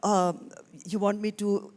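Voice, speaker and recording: A woman's voice: mid-range voice, level tone, crowd noise. Woman interviewer, teasing and conversational. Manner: conversational, teasing, with filler pauses. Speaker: interviewer and moderator. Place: festival stage before an audience.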